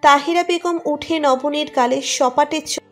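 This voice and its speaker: A woman's voice: sits high, unhurried. A woman reading a scripted romantic story as its narrator. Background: music under the narration.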